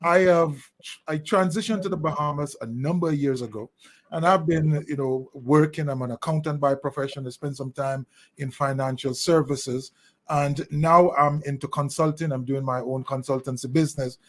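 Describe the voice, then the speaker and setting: Higher-pitched Jamaican man, measured phrasing. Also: steady tone. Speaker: interview guest, leadership consultant. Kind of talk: thoughtful interview answers.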